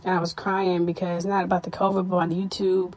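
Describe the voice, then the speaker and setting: A tired, stressed woman hosting her own podcast, talking candidly to listeners in a casual personal update, her voice a little hoarse. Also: lowish voice, little pitch movement, fairly quick, darkish tone. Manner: candid, weary, stressed, with frequent "you know". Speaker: podcast host.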